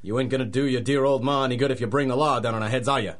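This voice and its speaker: A scheming, domineering gang leader played by a man out of a 1930s radio crime drama. He has a medium-pitched voice and orders people about in tough street slang. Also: steady tone, racing, natural voice. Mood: menacing, bossy.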